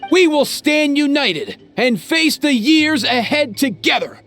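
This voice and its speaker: A man's voice: very high voice, sing-song, a little slow, fairly bright tone, faint music behind, exaggerated voice. A man voicing a small, earnest heroic creature in a video game, declaiming in a solemn, theatrical way. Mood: solemn, resolute.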